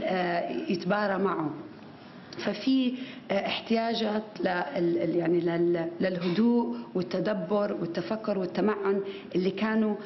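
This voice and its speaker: A woman's voice: mid-range voice, a little hiss or hum. Woman giving a conference talk at a podium, lots of ums, echoey hall, bit muffled. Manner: animated gestures, many fillers and restarts. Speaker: conference speaker. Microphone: podium microphone.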